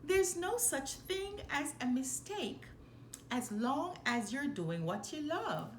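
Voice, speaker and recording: A loving storybook mother mouse acted by a woman reader speaks in a high, lilting voice. She is tender and reassuring, heard in an echoey room. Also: sing-song, takes it slowly, heavily acted. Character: gentle, loving mother mouse, middle-sized storybook parent. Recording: roomy echo, faint background noise.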